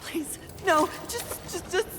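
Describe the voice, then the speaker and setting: An actress playing a terrified human survivor in a video game, sobbing and pleading in panic. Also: female voice, very high-pitched, slow, background noise. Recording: long reverb, music behind.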